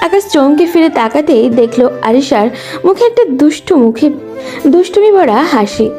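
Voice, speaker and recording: A woman's voice: sits high, expressive, moderate pace, dark tone, soft background music. A woman giving scripted narration of a romantic story.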